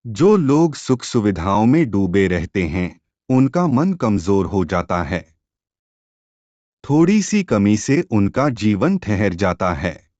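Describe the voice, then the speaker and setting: Wise, preachy cartoon tailorbird, played by a man: measured moral teacher, expressive intonation, dry dull recording. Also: lowish voice, no echo. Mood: solemn, preaching.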